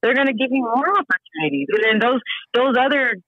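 A woman's voice: fairly high pitch, fast, no echo, lo-fi sound. An American woman from the US South, guest on an interview, talking casually with frequent laughs and fillers. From Georgia, US. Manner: animated, laughs often, many fillers. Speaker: guest opera singer.

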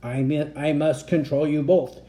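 A reader voicing a menacing male robot guardian with a flat, mechanical delivery, in a children's storybook. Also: mid-range voice, measured pace, lightly acted. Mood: cold menace.

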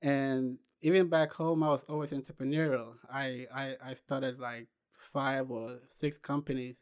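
A young man from Ghana who founded a clothing brand, telling his story unhurriedly as a podcast guest, with pauses and restarts. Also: medium pitch, level tone, clear, resonant tone. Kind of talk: casual interview chat.

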